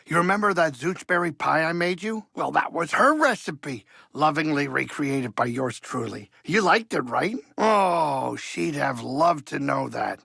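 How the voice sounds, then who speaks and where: A gravelly, middle-aged alien starship pilot from a video game. He grumbles and frets in a chatty, wry way, with a kindly streak under the nerves. The sound is dry and close, with plenty of creak in the voice. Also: fairly high pitch, lively intonation, unhurried, few pauses, bright, forceful tone, no room sound, exaggerated voice. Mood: gentle, chatty. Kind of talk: video game character acting.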